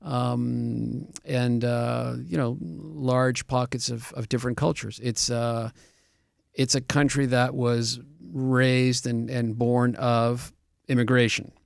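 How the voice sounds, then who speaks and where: A man from Argentina, a real estate broker, answering questions as a radio guest in a measured, explanatory way with frequent ums and uhs. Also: medium pitch, little pitch movement, gravelly, dry recording, studio quality.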